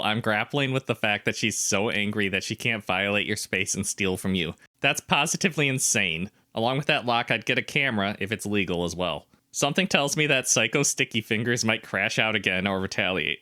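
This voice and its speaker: A man co-hosts a casual podcast, reading online comments and asking pointed clarifying questions. His voice is low-pitched yet bright and forward in tone. The recording is close and dry. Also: fairly quick, hardly any pauses, no echo. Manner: inquisitive, asks clarifying questions, opinionated. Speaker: podcast co-host.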